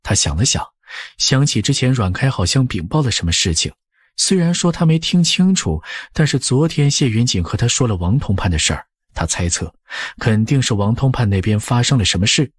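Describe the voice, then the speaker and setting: A man's voice: mid-range voice, big pitch swings, a little fast, breathy edge, no room sound, clean studio sound. A man narrating a historical novel as a scripted audiobook.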